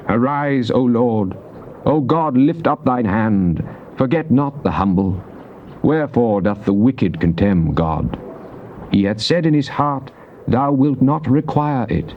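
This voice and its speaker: A man's voice: medium pitch, a little mellow, lightly acted. Casting: male actor for British psalmist in Bible drama, grave, declamatory, fervent in prayer; muffled tape with faint noise. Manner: solemn, declamatory, deliberate. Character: psalmist, fervent man praying and praising God. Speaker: scripture reader. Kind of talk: dramatized King James Bible reading. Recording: dull, noisy cassette tape transfer.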